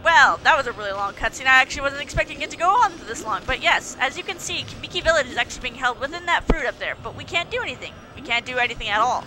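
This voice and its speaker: A woman's voice: sits high, animated, fast, few pauses, rough recording. A woman hosting a let's play, giving casual commentary on the video game she is playing. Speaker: gaming let's play host. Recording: faulty home microphone, game music behind.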